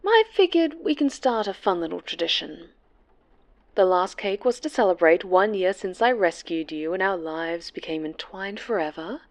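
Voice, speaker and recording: A woman voicing an obsessive, vengeful superhero villain in a horror ASMR roleplay, sweetly menacing and breathy, often slipping into whispers. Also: mid-range voice, darkish tone, lightly acted. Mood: playful, teasing.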